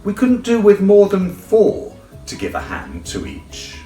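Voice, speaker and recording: A man's voice: high voice, huge pitch swings, a little slow, mellow, reverberant, recorded from a distance, soft background music, pitched above the performer's own voice, full character voice. A man voicing a pompous, sentimental walrus from a storybook, beseeching in a theatrical way. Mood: coaxing, theatrical.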